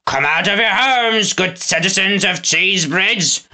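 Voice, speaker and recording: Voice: mid-range voice, a little slow, pushed and bright, pitched above the performer's own voice. A storybook reader voicing a scheming, boastful villainous man who shifts between a growl and a frilly put-on voice. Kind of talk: storybook read-aloud.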